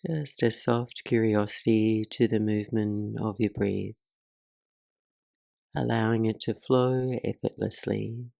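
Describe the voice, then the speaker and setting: A woman leading a guided meditation as the teacher, speaking in a soothing, measured way with soft pauses. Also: extremely low pitch, gravelly, dry recording, studio quality.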